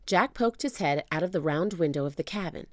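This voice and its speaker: A woman reading a children's book aloud as an expressive storyteller. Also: lowish voice, fairly quick, dry recording, clean studio sound.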